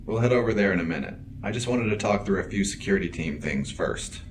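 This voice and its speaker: An actor playing an earnest, principled android security officer in a science fiction audio drama, speaking in precise, formal sentences. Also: male voice, sits low, animated, background noise. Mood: concerned, guarded. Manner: precise, formal, measured wording.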